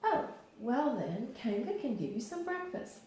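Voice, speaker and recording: Brief: woman voicing a kind, level-headed storybook boy, slightly raised pitch, echoey. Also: very animated, unhurried, a little mellow, full character voice. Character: kind, sensible young boy. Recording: very echoey room.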